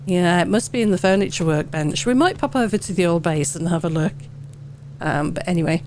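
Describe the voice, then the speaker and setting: A woman hosting a gaming channel, hoarse and wheezy from a head cold, chatting casually through update notes with lots of ums. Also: lowish voice, brisk, a little mellow, slight background noise. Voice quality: wheezy, sore-throated. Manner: chatty, rambling, with many ums. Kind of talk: casual gameplay commentary.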